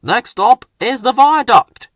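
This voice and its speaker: A man's voice: very high voice, lively intonation, slow, a bit bright, no echo, clean studio sound, voice pushed up, full character voice. A man voicing a dutiful engine driver who announces and explains like a guide in a children's storybook. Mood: announcing.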